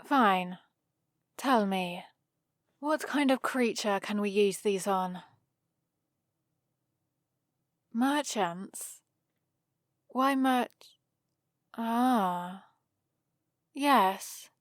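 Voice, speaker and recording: A woman's voice: medium pitch, drawn-out words, pauses often, no echo, studio quality. A woman playing a prim, bossy and exasperated vampire librarian in a scripted ASMR roleplay, scolding in a fussy, theatrical way. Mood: annoyed, haughty, then grudging, impatient.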